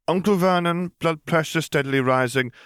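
Man voicing a precocious little girl, pitched up. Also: moderate pace, a bit bright, clear, resonant tone, no echo, studio quality, close to an everyday voice. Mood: clinical, assured. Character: precocious, matter-of-fact little girl making clinical observations.

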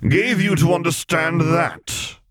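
A man's voice: on the high side, slow pace, fairly bright tone, no echo, pitched above the performer's own voice. A man voicing a blustering, indignant male senator who is easily outraged, in an audiobook. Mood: bellowing outrage. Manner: huffy, bellowing. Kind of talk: audiobook character voice.

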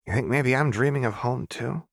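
An impatient, cheeky young woman in a storybook reading, as a male narrator voices her, quick and eager. Her voice is pitched well above the reader's own low tone, with a dry, close sound. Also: creaky, dry recording.

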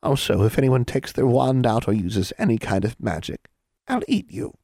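A man putting on a high, swooping hag woman. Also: very animated, normal speed, vocal fry, dark tone, no echo, clean studio sound. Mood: sweetly menacing. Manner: indulgent, officious, crooning, mock-sweet. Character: cheerful, menacing hag official, huge misshapen woman. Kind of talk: storybook character voice.

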